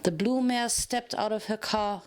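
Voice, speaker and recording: A woman's voice: medium pitch, measured pace, smooth. A woman narrating a scripted story as a dramatic reading.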